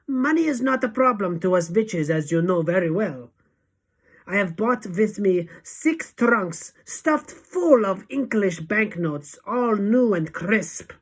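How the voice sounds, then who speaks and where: A woman's voice: mid-range voice, animated, measured pace, creaky, a bit bright, slightly rough, pitched above the performer's own voice, heavily acted. A woman voicing a tiny, fiendish, tyrannical witch leader who screeches and barks commands in mangled grammar for a storybook. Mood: commanding. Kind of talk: dramatic audiobook character acting.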